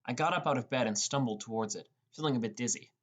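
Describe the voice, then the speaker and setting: A man's voice: mid-range voice, fast, fairly bright tone. A man reading a scripted first-person story as an audiobook narrator.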